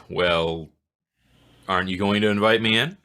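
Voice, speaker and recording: An actor playing a dry, teasing police inspector in a 1940s radio drama, hesitant and coaxing in delivery. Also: male voice, lowish voice, slow, creaky, bright, forceful tone, exaggerated voice. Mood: polite, coaxing. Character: laconic, teasing male police inspector, sweet on the detective. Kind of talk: 1940s radio detective acting.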